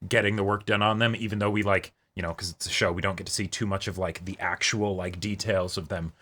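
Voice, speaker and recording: Guy on a reaction show, bright punchy voice, excited rambly casual chat. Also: sits low, fast talker. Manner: enthusiastic, rambling. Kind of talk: casual excited TV episode discussion.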